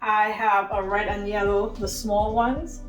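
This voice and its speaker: A woman's voice: medium pitch, flat delivery, a little slow, echoey room, soft background music, rough recording. A woman from Trinidad and Tobago hosting a home cooking demonstration, chatty and talking to viewers as friends. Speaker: cooking show host.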